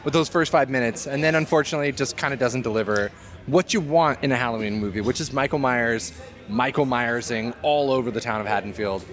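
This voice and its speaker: A man's voice: mid-range voice, brisk, fairly bright tone. A man hosting a show in casual chat, with a playful, slangy, opinionated way of talking. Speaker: show host. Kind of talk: casual chat about horror films.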